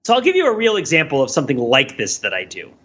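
A man's voice: on the high side, huge pitch swings, fast, fairly bright tone, husky, lo-fi sound. A man co-hosting a podcast in casual, analytical chat, thinking aloud in a discursive way. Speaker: podcast co-host.

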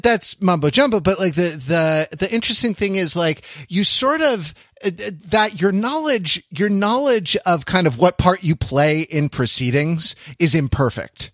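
A man co-hosting a podcast in casual analytical chat, haltingly repeating words as he works out his points. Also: fairly high pitch, a bit bright, dry recording, clean studio sound. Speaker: podcast co-host.

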